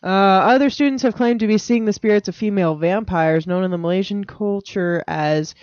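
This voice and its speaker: A woman speaks as a podcast co-host, moving between reading aloud and casual banter. Her manner is matter-of-fact and questioning, quick to correct. The audio is dry and close, a little dull. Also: mid-range voice, darkish tone, no room sound. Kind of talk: casual banter and reading odd news items.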